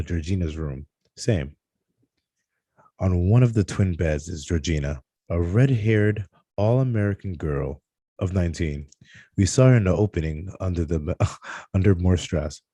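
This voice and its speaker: A man narrating screenplay stage directions at a table read, in a halting delivery that stumbles over words. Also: low-pitched, mellow, husky, dry recording.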